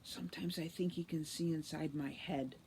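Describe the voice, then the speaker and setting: A woman's voice: deep voice, steady tone, moderate pace, dark tone, slight background noise. A woman reading a storybook, voicing a vain, gushing, teasing teenage girl captor. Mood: vain chatty.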